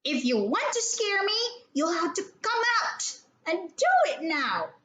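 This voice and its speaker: Woman putting on a high-pitched, defiant little girl. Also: sing-song, a bit bright, exaggerated voice. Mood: bold, challenging. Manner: emphatic, drawn-out exclamations. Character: defiant, dramatic little girl afraid of bedtime monsters.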